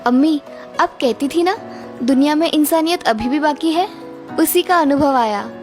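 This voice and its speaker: A young working daughter-in-law played by a woman in an animated tale for children. She is kind, courteous and reassuring, with a high voice. Quiet music sits behind her. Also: normal speed, lightly acted. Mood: pleased, assured. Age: young adult. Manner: reassuring, polite. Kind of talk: children's cartoon story voice acting.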